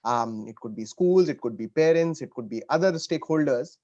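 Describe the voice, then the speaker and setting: A man answering as a panel speaker, explaining in a halting way with repeats and rephrasing, on dull call audio. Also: medium pitch, lively intonation, dark tone.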